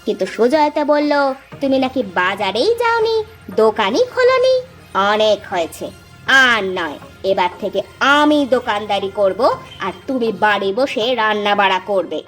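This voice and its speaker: A woman voicing a feisty, enterprising village wife in a cartoon, with an assertive, expressive manner. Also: medium pitch, moderate pace, faint music behind. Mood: scolding. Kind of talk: Bengali moral-story cartoon acting.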